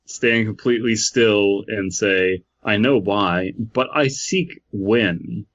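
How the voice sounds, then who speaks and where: A man co-hosting a casual comedy podcast, reading aloud and musing in a bemused, halting way, on a slightly muffled recording. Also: fairly low pitch, a bit bright. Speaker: podcast co-host. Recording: slightly dull, muffled sound.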